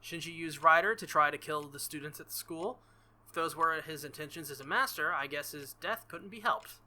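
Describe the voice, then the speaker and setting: A man's voice: fairly high pitch, brisk, pushed and bright. A man reading a visual novel aloud as a let's-play host, theatrical and jokey, with casual asides. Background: faint game music.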